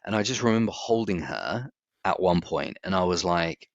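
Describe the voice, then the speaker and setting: A man in his forties with a very low voice, chatting candidly about his own life and laughing easily. The recording is dry and a touch dull. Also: expressive, moderate pace, no echo. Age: 40s. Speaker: podcast co-host. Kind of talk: casual chat. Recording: dry, slightly dull audio.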